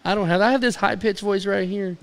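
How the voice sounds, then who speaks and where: A man's voice: high voice, steady tone, a little fast, creaky. A man with a Southern American accent, co-hosting a casual radio podcast with teasing, upbeat banter. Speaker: podcast co-host.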